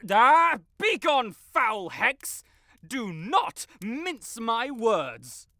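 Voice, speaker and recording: Haughty, wildly melodramatic wizard. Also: male voice, very high-pitched, very animated, very slow, bright, forceful tone, no room sound, studio quality, full character voice. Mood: flustered, commanding. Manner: pompous, flowery, theatrical, blurting and self-correcting. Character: haughty, melodramatic male wizard, secretly fond tsundere. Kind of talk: scripted audio roleplay to the listener.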